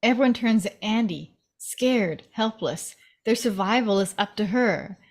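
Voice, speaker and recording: A woman narrates a screenplay, reading stage directions aloud for a table read. Her voice sits at a medium pitch in a scripted storytelling style. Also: lively intonation, measured pace.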